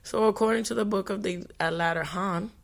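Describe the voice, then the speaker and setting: A woman's voice: mid-range voice, vocal fry. A woman podcast host, reading notes for a language talk, often stumbling and restarting on hard words. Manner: halting, with restarts on hard words and brief apologies.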